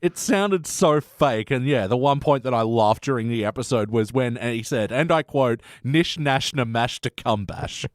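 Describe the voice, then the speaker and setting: A man's voice: mid-range voice, animated, normal speed, few pauses, dry recording, clean studio sound. A man co-hosting a podcast in loose, rambling, jokey and informal conversation. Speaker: podcast co-host. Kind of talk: casual chat.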